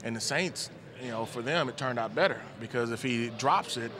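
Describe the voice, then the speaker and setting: A man in his forties, a former player, giving relaxed postgame football analysis into a handheld mic on a studio set. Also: medium pitch, moderate pace, a crowd in the background. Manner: relaxed, thoughtful, many "you know" fillers. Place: studio set with team backdrop, indoors. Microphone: handheld microphone.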